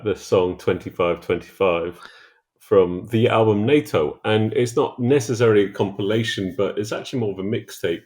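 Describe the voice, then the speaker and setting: Deep gravelly man, hesitant. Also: darkish tone. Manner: thoughtful, hesitant with many fillers. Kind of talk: casual chat.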